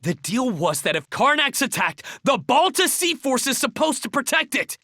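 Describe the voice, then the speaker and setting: An actress playing a hot-headed youngster in a video game, with a confrontational, insistent manner. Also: female voice, high-pitched, very animated, normal speed, bright, forceful tone, heavily acted. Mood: indignant. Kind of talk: scripted video game acting.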